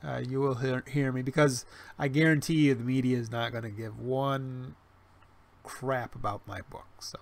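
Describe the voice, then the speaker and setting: A man's voice: medium pitch, fairly even intonation, moderate pace, gravelly. A man in his forties hosting a casual livestream, talking in a rambling way full of restarts and fillers, with wry self-deprecating humor. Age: 40s. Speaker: livestream host. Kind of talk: casual livestream chat reading chat. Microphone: webcam stream mic.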